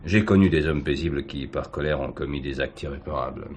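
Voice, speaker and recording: Deep-voiced, sceptical police commissioner. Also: male voice, a little hiss or hum. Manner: formal, measured, probing. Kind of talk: 1970s French radio drama acting.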